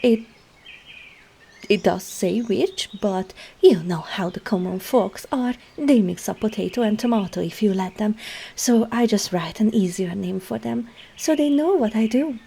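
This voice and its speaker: A woman's voice: mid-range voice, measured pace, vocal fry, warm tone, husky. A woman voicing a sly, teasing traveling witch and herbologist in an ASMR roleplay, coy and murmuring into half-whispers as she addresses the listener. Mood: coy, chatty.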